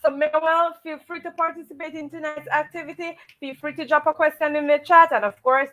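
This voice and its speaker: A woman with a fairly high voice co-hosts a children's livestream. She greets viewers as they join, often repeating the same stock phrases. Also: steady tone, fairly quick, bright, forceful tone.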